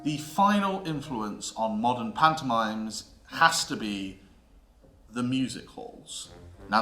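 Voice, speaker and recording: A British man giving a scripted educational narration as a theatre history presenter, with an emphatic, theatrical delivery and deliberate pauses. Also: mid-range voice, fairly bright tone. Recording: roomy echo with music underneath.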